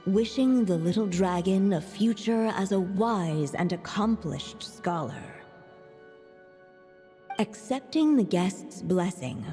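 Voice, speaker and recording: Fairy-tale game narrator: unhurried, soft-toned, theatrical, reverberant with faint music underneath. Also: female voice, medium pitch. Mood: solemn, gentle. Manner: measured, theatrical storytelling. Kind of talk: scripted storybook narration in a game. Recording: long reverberant hall sound.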